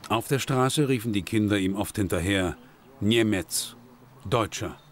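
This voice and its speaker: Ruhiger männlicher Dokumentarsprecher. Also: male voice, fairly low pitch, a little slow, a little hiss or hum. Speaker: documentary narrator. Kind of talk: scripted documentary narration.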